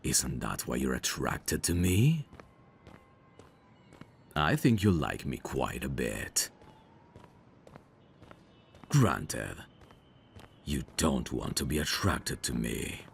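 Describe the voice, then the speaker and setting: Man playing very deep, teasing mafia boss. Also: very animated, creaky, a little hiss or hum, heavily acted. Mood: flirtatious, teasing. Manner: teasing, unhurried, self-assured. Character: dangerous, teasing male mafia boss, smugly protective and flirtatious. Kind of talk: ASMR roleplay audio drama.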